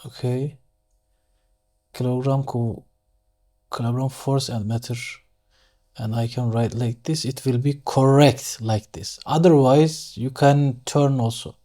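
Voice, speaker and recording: A man with a Turkish accent, a native Turkish speaker, giving an unscripted step-by-step software tutorial, thinking aloud haltingly and correcting himself as he goes. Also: medium pitch, steady tone. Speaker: engineering software tutorial instructor. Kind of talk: unscripted screen-share tutorial, step-by-step explanation.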